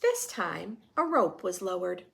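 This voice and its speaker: A woman's voice: fairly low pitch, very animated, measured pace, vocal fry, a little hiss or hum. A woman reading a storybook aloud to young children, expressively, with chatty, questioning asides to her listeners. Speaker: children's story reader and host.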